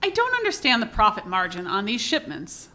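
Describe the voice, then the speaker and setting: A woman's voice: a little high, wildly swooping pitch, brisk, sharp tone, exaggerated voice. A woman playing a dry, sarcastic smuggler. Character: dry, practical female smuggling partner, skeptical. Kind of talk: comic radio play acting.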